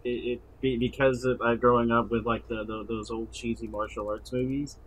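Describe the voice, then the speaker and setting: A man chatting casually as a podcast co-host, halting and often stammering over repeated words. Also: medium pitch, little pitch movement, fairly bright tone, slightly rough, poor audio. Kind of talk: casual chat.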